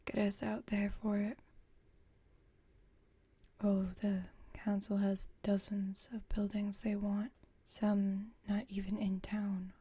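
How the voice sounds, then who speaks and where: A woman playing a chatty, gentle, wry vampire roommate in an ASMR roleplay, speaking in a breathy, intimate whisper. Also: mid-range voice. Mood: conversational. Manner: unhurried, conversational, lightly wry. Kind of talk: ASMR roleplay, intimate one-sided chat.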